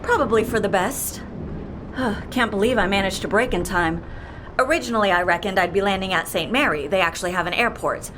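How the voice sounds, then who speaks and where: A woman playing a brisk, talkative, blunt pilot and death-faking agent in an audio drama, with a wry, animated manner. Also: medium pitch, a little hiss or hum, close to an everyday voice, poor audio. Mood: chatty, teasing. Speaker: actor in an audio fiction series.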